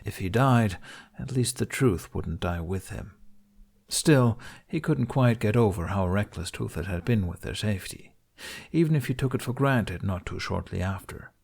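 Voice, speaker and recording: A man reading a fantasy story as an audiobook narrator, in an expressive scripted style. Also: lowish voice, moderate pace, vocal fry, breathy edge.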